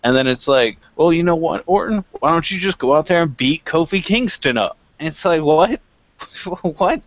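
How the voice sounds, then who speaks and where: A man's voice: a little high, expressive, moderate pace, no room sound, highs cut off. A man on a review panel in a casual, opinionated rant, incredulous and swearing freely.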